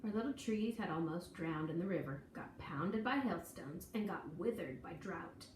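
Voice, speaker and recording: A woman reading a children's picture book aloud in an expressive, folksy, dramatic storytelling manner. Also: fairly low pitch, normal speed. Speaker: storybook reader. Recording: echoey room, distant mic, faint noise.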